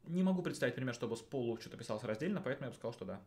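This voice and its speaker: A man's voice: mid-range voice, animated, very fast, darkish tone. A man in his thirties, a teacher, chatting casually and thinking aloud, with a colloquial, questioning manner. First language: Russian. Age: 30s. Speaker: social studies teacher. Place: small indoor studio.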